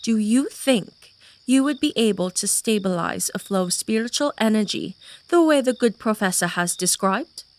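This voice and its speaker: A woman playing a soft-spoken ageless queen. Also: medium pitch, expressive, moderate pace, close to an everyday voice. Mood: inquiring. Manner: soft, gentle and wistful. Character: immortal elegant queen, soft-spoken blood mage. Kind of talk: audio drama acting.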